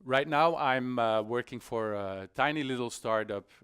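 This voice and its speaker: A middle-aged man giving an informal conference presentation in a conversational, self-deprecating, slightly halting way. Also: mid-range voice, fairly bright tone, clean tone. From Belgium. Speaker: conference speaker, iOS developer. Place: conference stage in a hall.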